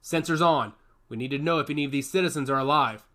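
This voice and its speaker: A man voicing a blunt male soldier who leads a squad, barking brisk orders in a storybook reading. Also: fairly high pitch, fairly bright tone, close to an everyday voice. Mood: commanding. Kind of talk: audiobook character voice.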